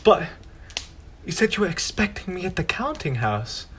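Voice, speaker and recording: A man's voice: sits high, very animated, moderate pace, a bit bright, slight background noise. A teenage boy playing a humble, deferential poor clerk and father in a school stage play, often stammering. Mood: flustered. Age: teens.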